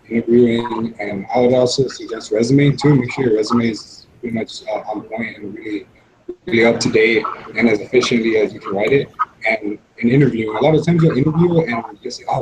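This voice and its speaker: Brief: mid-20s man, panelist, earnest, mid pitch, faint hiss. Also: a little mellow, low-quality recording. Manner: earnest advice with hesitant starts. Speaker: engineering panelist. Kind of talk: panel answers to students.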